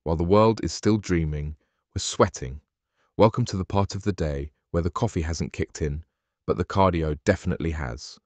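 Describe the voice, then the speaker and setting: A man reads a short, punchy motivational voice-over. His voice is very deep, and the recording is dry and close with no room sound. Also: moderate pace, no room sound. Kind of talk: scripted motivational voice-over intro.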